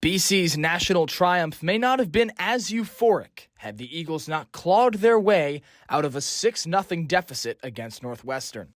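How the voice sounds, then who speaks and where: A man's voice: a little high, very animated, no echo. A man reporting a college lacrosse feature story in a polished, scripted narration. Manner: measured, polished delivery. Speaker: sports reporter.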